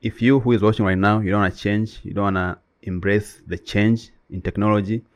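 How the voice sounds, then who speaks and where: A man's voice: fairly low pitch, fairly even intonation, brisk. A man whose first language is Swahili, co-hosting a casual chat and talking emphatically with many "you know"s. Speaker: co-host.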